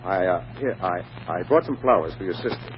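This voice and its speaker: Stiff, low-spoken apologetic businessman. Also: expressive, fairly quick. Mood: contrite, awkward. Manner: formal, hesitant. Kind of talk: 1940s radio comedy acting. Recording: muffled, noisy vintage radio recording.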